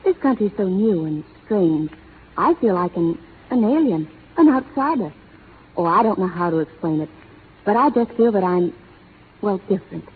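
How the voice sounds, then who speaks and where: An actress playing a spirited young schoolteacher who teases and questions quickly, in a 1930s radio drama. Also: female voice, mid-range voice, animated, slightly rough. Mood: unsure. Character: spirited young female schoolteacher, teasing and proud. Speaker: lead actress in a radio play. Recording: tinny 1930s radio broadcast with faint hiss.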